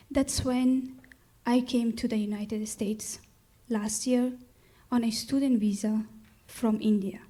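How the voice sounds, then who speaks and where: Young Afghan woman, very slow and measured, mellow fairly high voice, solemn speech to a crowd. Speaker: graduate student and storyteller. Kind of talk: speech to a live audience.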